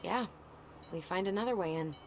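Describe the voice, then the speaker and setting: A woman's voice: lowish voice, normal speed, lightly acted. A young woman with an American accent playing a guarded, practical character with dry wit in a video game cutscene. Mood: matter-of-fact. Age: young adult. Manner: dry, understated. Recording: noisy game stream capture with ambience.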